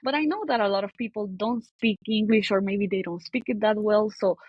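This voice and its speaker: Woman, podcast guest, breathy voice, hesitant with restarts, dry dull recording. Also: mid-range voice, a little fast, no echo. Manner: hesitant, frequent restarts and cut-off words. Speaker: podcast guest, content marketer. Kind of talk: casual interview conversation.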